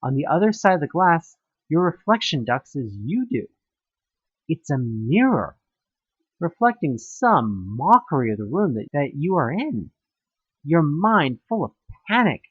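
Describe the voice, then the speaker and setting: A man's voice: fairly high pitch, very animated, a little slow, breathy edge, no room sound, dull audio. A man reading a scripted second-person story as a narrator, with a theatrical, emphatic delivery that draws out words. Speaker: audio play narrator.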